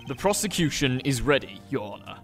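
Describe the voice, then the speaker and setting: A man playing a formal, self-assured courtroom prosecutor in a video game fandub, with a crisp manner. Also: medium pitch, very animated, brisk, fairly bright tone. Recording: music behind the voice.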